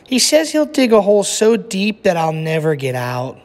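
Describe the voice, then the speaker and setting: A man's voice: high voice, huge pitch swings, normal speed, pitched above the performer's own voice. A man reading a storybook aloud, voicing a timid but plucky young boy in an earnest, exaggerated child voice. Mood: worried. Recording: echoey room with long reverb.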